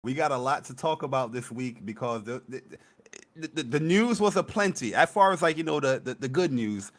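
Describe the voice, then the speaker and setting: A young man co-hosting a casual gaming podcast, energetic and hyped as he talks. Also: mid-range voice, fairly quick. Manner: energetic, hype. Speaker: podcast co-host. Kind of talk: casual chat.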